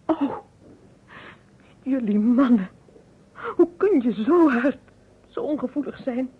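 An emotional, distressed woman in a radio crime play. Her voice is slightly high and very husky, full of reproach. The sound is narrow and muffled like an aged broadcast. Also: lively intonation, slow pace, exaggerated voice. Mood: reproachful. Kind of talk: radio detective drama acting.

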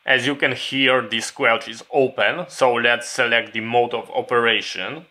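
Bright-voiced male instructor, unhurried. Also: mid-range voice, steady tone. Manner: methodical, step-by-step. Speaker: instructor demonstrating radio programming. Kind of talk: hands-on tutorial explanation.

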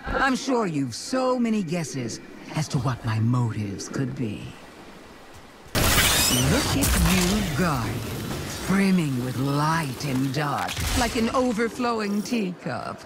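A woman voicing a sly, taunting alien witch queen in a video game, with grand, drawn-out, mocking delivery. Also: low-pitched, sing-song, heavy background noise, exaggerated voice. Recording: loud music behind the voice.

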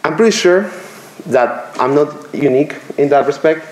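A young man from Venezuela speaks into a podium microphone in a lecture room, giving a commencement speech. He pauses often and jokes at his own expense. The room is roomy and echoey, with a faint hum behind him. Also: on the high side, expressive, a little mellow. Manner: halting, self-deprecating, with filler pauses. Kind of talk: graduation speech. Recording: roomy lecture hall echo.

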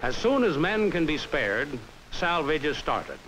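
Animated 1950s American training-film narrator, male. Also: fairly high pitch, measured pace, noisy background. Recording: vintage 1950s film soundtrack. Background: background music.

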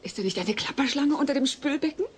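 A woman's voice: high voice, expressive, fast, a little mellow. A woman playing a polite, flustered suburban mother in a sitcom audio drama, hesitant and placating. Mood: urgent. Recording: noisy cassette audio drama.

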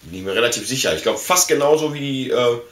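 A man's voice: medium pitch, expressive, fast talker, a bit bright. A middle-aged man from Germany chatting casually and slangily as he hosts an unboxing video, rambling informally, picked up by a camera mic in a small room. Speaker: video host. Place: small indoor room full of collectibles. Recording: roomy echo, slight background noise.

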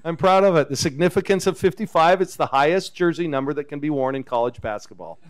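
A man in his fifties fields questions after a game, loose and conversational. His voice comes through smooth and resonant on a table microphone in a press room. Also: on the high side, fast. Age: 50s. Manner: relaxed, chatty, chuckles often. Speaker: head basketball coach. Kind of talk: post-game press conference. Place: press conference room with backdrop. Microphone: table microphone on a stand.

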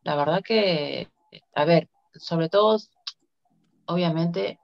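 A woman's voice: on the low side, takes it slowly, vocal fry. Chatty Latin American woman, muffled call audio. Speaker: interview guest. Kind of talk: casual conversational interview. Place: indoor room, on a video call. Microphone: video call device microphone. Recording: dull, lo-fi video call audio.

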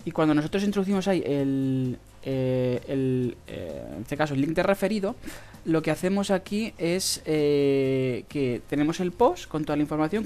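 A speaker with a Spain Spanish accent giving a casual screen-recorded tutorial, in a halting, hesitant way with drawn-out pauses. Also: medium pitch, darkish tone. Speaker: video tutorial host. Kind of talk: casual screen-recorded tutorial about earning money online. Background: background music.

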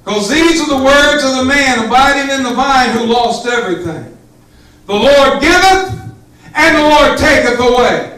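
A man's voice: extremely high pitch, animated, normal speed, bright, forceful tone. A middle-aged man preaching a Pentecostal sermon as pastor, fervent and emphatic, addressing his congregation directly. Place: church sanctuary with small congregation. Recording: echoey church hall, far from camera.

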